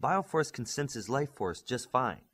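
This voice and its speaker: A man voicing a composed, forceful female superhero in an audiobook, measured and authoritative. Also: mid-range voice, big pitch swings, a little hiss or hum. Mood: explaining.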